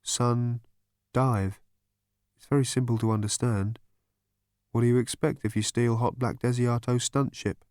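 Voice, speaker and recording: Man doing a gloomy robot, deadpan, flat, weary, audiobook. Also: medium pitch, a little slow, pauses often, no room sound, studio quality, natural voice.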